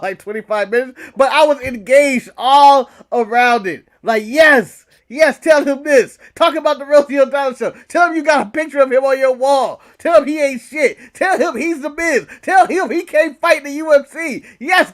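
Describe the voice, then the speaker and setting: A man with a distinctly high-pitched voice co-hosts a wrestling podcast. He chats casually and gives strong opinions. He stresses points by repeating them and swears freely. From United States. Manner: animated, repetitive emphasis, profane.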